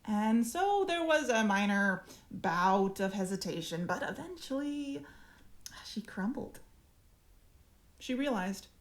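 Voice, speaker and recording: A woman playing a cool, smug alien parasite speaking through its human host, measured and formal, in an audio drama. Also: mid-range voice, lively intonation. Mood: smug, persuasive.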